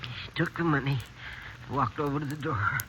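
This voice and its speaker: A man playing a wounded, shaken frontier clerk in a radio western, halting and short of breath, with folksy rural grammar. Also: medium pitch, close to an everyday voice. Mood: pained, weary. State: breathless, labored, in pain. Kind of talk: old-time radio western acting. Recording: noisy vintage radio recording.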